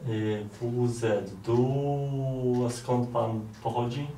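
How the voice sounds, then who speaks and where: Slow, hesitant man with Polish accent. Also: medium pitch, steady tone, mellow. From Poland. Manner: hesitant, halting, with fillers. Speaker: French learner. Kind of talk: answering lesson drills. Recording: roomy, distant mic, faint noise.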